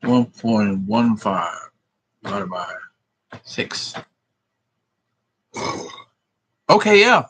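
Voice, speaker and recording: A man's voice: lowish voice, sing-song, very slow, pauses often, a bit bright, husky. A man rambling in a profane, meandering monologue, thinking aloud as he works through sums. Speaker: vlogger.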